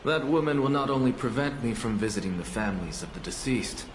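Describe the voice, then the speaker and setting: A man's voice: medium pitch, animated, fairly quick, roomy sound, a little hiss or hum, close to an everyday voice. A man voicing a haughty scholar professor in a video game, dry, self-assured and dismissive. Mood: aloof. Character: haughty scholar professor Anaxa, aloof and sardonic.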